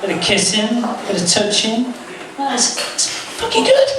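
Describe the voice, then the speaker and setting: A man's voice: extremely high pitch, huge pitch swings, moderate pace, very noisy. A man in his thirties doing a self-deprecating stand-up set at a comedy club, telling a story with frequent restarts. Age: 30s. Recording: loud echoey club from a far mic. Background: audience laughter.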